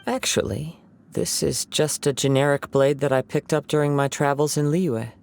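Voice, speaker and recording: Calm young wandering samurai, gravelly. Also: male voice, fairly high pitch, a little hiss or hum. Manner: calm, measured and reflective.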